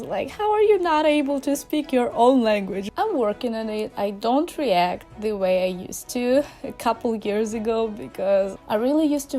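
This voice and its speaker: A young woman from Russia talks to camera in English with a Russian accent, chatty and playful, laughing easily at her own jokes. Her voice is mellow and dark, with a touch of vocal fry. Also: medium pitch. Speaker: vlogger. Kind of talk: casual talking-head vlog.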